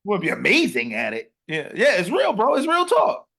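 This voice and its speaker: Animated man in his thirties, preaching. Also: sits high, fairly quick, creaky, husky. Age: 30s. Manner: animated, preachy, swings between loud and hushed. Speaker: podcast host. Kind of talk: casual interview chat.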